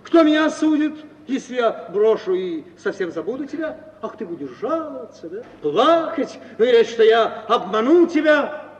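High-voiced, domineering, scheming husband. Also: male voice, very animated, normal speed, muffled recording. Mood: contemptuous, domineering. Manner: theatrical, domineering, sneering. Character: cold, scheming, bullying husband in a stage comedy. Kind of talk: 1950s Russian radio theatre acting. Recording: old noisy radio recording, distant, reverberant hall, hum.

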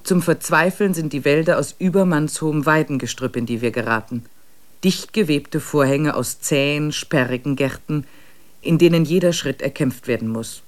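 A man narrating a wilderness expedition diary in a radio drama, measured and matter-of-fact. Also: on the high side.

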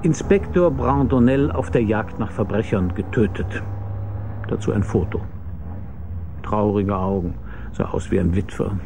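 A man's voice: on the low side, a little slow, background noise. An actor playing a hard-boiled, laconic private detective who narrates his own case in a German radio crime drama. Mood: brooding, wry. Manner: laconic, dry, probing.

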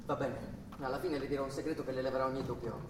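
A man's voice: mid-range voice, fairly even intonation, rapid-fire, close to an everyday voice. A man playing a puzzled, probing official caught up in a trial in a radio drama, questioning and repeating words back in disbelief. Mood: probing, controlled. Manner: questioning, echoing the other's words incredulously. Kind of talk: theatrical radio drama acting. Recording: very echoey hall, distant mic, faint noise.

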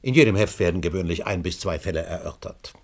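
An actor in a German radio play, playing a driven, imperious middle-aged scholar who suspects conspiracies and speaks in emphatic, deliberate phrases. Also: male voice, sits low, lively intonation, a little mellow. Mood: insistent. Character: driven, conspiracy-minded older male history scholar, insistent and imperious.

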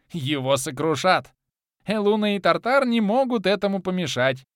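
A man's voice: fairly bright tone, no echo, clean studio sound. A man voicing a mad, cackling male deity who gloats with childish glee. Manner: manic, shrill, gloating.

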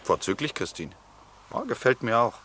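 An actor playing a self-assured middle-aged count in a radio play, hearty, chuckling and a little declamatory. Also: male voice, mid-range voice, wildly swooping pitch, moderate pace, pushed and bright, a little hiss or hum. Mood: breezy, assured. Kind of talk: German radio play acting.

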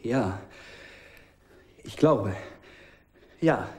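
Hesitant official in echoey room. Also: male voice, lowish voice, expressive, very slow, warm tone. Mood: hesitant, correct. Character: hesitant, correct male subordinate official. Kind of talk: German radio drama acting. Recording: roomy, echoing room sound.

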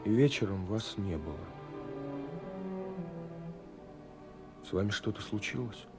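An actor playing an earnest young romantic in a 1970s Soviet radio play, confiding haltingly with dramatic pauses. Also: male voice, fairly low pitch, expressive. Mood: wistful, hesitant. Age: young adult. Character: earnest young romantic man searching for a mysterious girl. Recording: 1970s radio recording with faint music. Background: faint music.

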